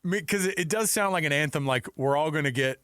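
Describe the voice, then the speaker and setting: A man's voice: a little high, quick pace, smooth, dry recording, clean studio sound. A middle-aged man hosting sports radio, chatting casually and telling stories in a jokey way. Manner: jokey, animated storytelling. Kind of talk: casual chat. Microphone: close studio microphone.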